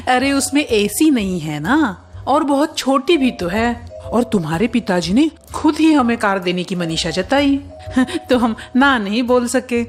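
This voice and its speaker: A woman's voice: on the high side, animated, measured pace. A woman playing a greedy, sly middle-aged mother-in-law in a cartoon story, with a wheedling, theatrical manner. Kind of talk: Hindi cartoon story acting.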